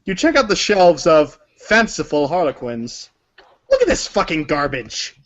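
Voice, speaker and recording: A man with a fairly high voice narrates a story aloud. His delivery is dramatic and emphatic, like staged read-along theater. The recording sounds slightly dull and lo-fi. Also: huge pitch swings, a little slow, fairly bright tone, slight background noise. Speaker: narrator of a group reading.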